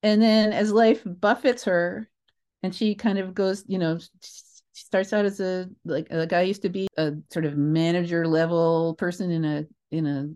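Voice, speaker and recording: Older woman, lowish voice, chatting animatedly. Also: darkish tone. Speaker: interview guest, theatre creator. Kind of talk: casual interview storytelling.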